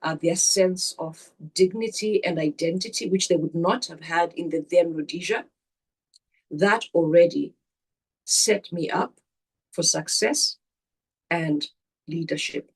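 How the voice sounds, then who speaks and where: An older woman, answering interview questions slowly and reflectively, pausing and restarting as she thinks. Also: on the low side, slightly rough, poor audio. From Zambia. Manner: slow, deliberate, with pauses and restarts. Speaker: guest.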